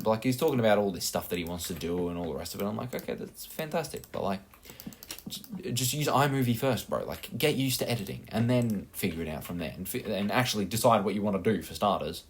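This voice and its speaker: Deep-voiced Australian guy chatting over gameplay. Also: slight background noise. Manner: rambling, self-mocking, many fillers. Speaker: gaming channel host. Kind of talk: casual gameplay chat.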